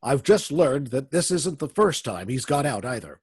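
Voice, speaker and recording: Man acting a very husky, blustering prison warden. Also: medium pitch, sing-song, moderate pace, a little mellow, no room sound, studio quality. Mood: self-righteous. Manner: stammering, blustery. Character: corrupt, blustering male prison warden, evasive and indignant. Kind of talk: old-time radio drama acting.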